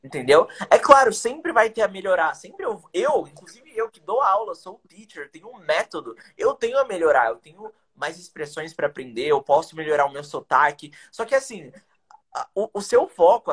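A man's voice: sits high, a bit bright, husky. A young man from Brazil, an English teacher, chatting energetically on a video call with slang and rhetorical questions. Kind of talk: casual live-stream chat, teaching tips. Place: indoors, small room. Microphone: webcam on a video call.

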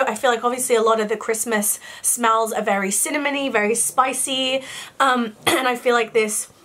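A young woman with a croaky voice and a cough, chatting casually through a product haul in her bedroom. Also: on the high side, fairly bright tone. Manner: chatty, rambling, lots of fillers. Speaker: beauty vlogger. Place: bedroom, indoors.

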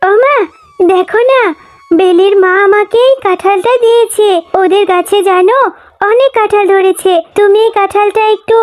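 Very high, eager little fox girl. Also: fairly even intonation, normal speed. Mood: pleading. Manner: pleading, childlike. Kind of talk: cartoon voice acting. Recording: music under the voice.

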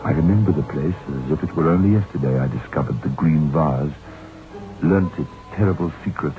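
An actor playing a brooding, reflective novelist who tells his haunted tale in measured 1960s radio drama style, on a tinny, noisy old recording. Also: a bass voice, faint music behind.

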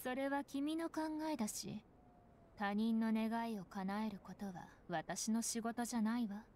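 A woman's voice: a little high, a little slow, a little mellow, a little hiss or hum, natural voice. A woman playing a cool, sardonic young nun in an anime game, curt and dryly cutting. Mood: aloof, blunt. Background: game background music.

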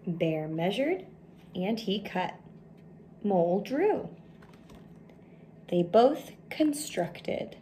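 A woman's voice: mid-range voice, big pitch swings, extremely slow, pauses often, dark tone, a little hiss or hum. A woman in her thirties reads a children's picture book aloud at home, speaking simply and expressively to young listeners. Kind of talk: children's storybook read-aloud. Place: indoors, small room at home.

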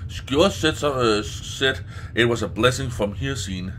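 A man's voice: medium pitch, expressive, a little slow, fairly bright tone, a little hiss or hum. A man doing casual let's play commentary, reacting aloud in a crude, jokey, exclamatory way.

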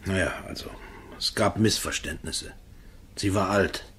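A man's voice: low-pitched, measured pace, fairly bright tone, a little hiss or hum. A man playing an uneasy, shifty murder suspect in a radio crime play, hesitant and evasive. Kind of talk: German radio drama acting.

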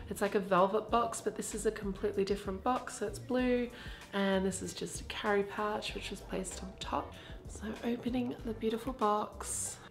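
Croaky woman gushing through an unboxing. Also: mid-range voice. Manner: chatty, gushing about packaging. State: croaky from a cold. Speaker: vlogger. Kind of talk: casual unboxing vlog narration. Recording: roomy sound with background music.

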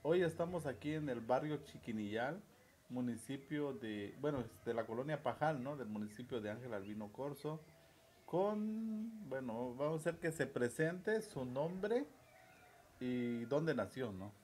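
A man's voice: medium pitch, expressive, unhurried, a little mellow. A man in his forties with a southern Mexican accent, hosting an informal interview and often tagging questions onto his phrases. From Chiapas, southern Mexico. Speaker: host and interviewer. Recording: noticeable background ambient noise.

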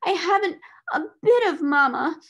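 A woman's voice: extremely high pitch, animated, moderate pace, fairly bright tone, voice pushed up. A woman voicing a spoiled, stubborn little girl in a storybook, wailing and whimpering tearfully.

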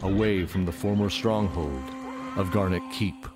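Deep-voiced man narrating fantasy. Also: a little slow, music in the background. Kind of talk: dramatic fantasy story narration.

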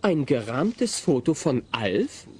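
A man narrating a German radio play as a scripted storyteller, with an expressive, wry delivery. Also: medium pitch, slow, a little hiss or hum.

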